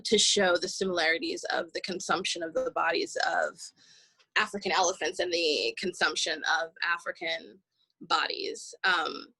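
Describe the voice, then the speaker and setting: A woman actor speaking reflectively in an interview, halting and searching for her words as she goes. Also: lowish voice, steady tone, creaky, pushed and bright, husky, dry recording, poor audio. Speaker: stage actor in an audio play.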